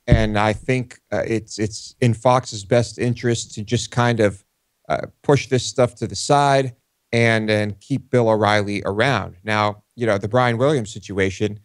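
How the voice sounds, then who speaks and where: A man co-hosting a talk show in casual discussion, with halting, tentative phrasing. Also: lowish voice, fairly even intonation, no echo.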